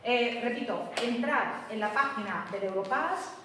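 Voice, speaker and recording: A woman's voice: on the high side, unhurried, background noise. A woman teacher giving a classroom lecture, explaining step by step and often checking that students follow. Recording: echoey classroom from far off.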